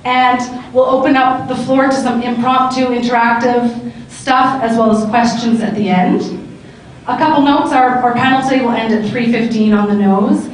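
Upbeat woman moderator, high pitch, handheld mic in a booming convention hall. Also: steady tone, moderate pace, a little hiss or hum. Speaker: panel moderator. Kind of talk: hosting a live panel. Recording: echoey hall, camera from far off.

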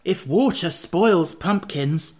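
A woman's voice: on the low side, animated, takes it slowly, a little mellow, voice pushed up. A woman voicing an anxious, simple and polite male pumpkin-headed figure in a storybook, earnest and worried. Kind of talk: audiobook character voice.

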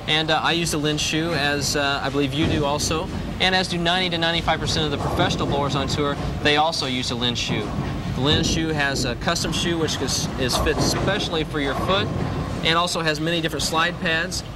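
A man's voice: a little high, fairly even intonation, fairly quick, heavy background noise. A man in his thirties, a pro bowler, explaining grips and shoes in an instructional video, recorded indoors with a lapel mic and repeating the key points. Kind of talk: instructional demonstration. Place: indoor bowling alley.

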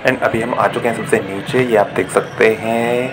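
Young guy from india vlogging thru a mall on his phone, lowish voice, music going loud in the back. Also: steady tone, normal speed, rough recording. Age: young adult. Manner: chatty tour-guide talk mixing in English words. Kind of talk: casual vlog narration. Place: shopping mall, indoors and out. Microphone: phone camera held at arm's length.

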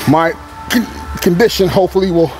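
A man's voice: high voice, fairly quick. Male pro bodybuilder, 30s, candid rambling gym chat, camera mic, music behind. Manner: laid-back, candid, rambling with fillers. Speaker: professional bodybuilder. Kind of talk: casual talk to camera between sets. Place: large indoor gym.

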